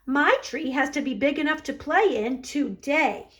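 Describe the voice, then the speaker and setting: A woman's voice: high-pitched, animated, a little slow, fairly bright tone, pitched above the performer's own voice. A woman voicing an impatient, easily discouraged but eager young boy character from a children's storybook, with earnest exclamations and sighs. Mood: indignant. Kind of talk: children's storybook read-aloud.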